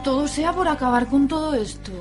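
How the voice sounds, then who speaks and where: A woman's voice: sits high, fairly quick, music in the background, natural voice. A woman acting a squeamish, panicky, dramatic character who wails and exclaims in an amateur radio soap opera. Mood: squeamish, reluctant.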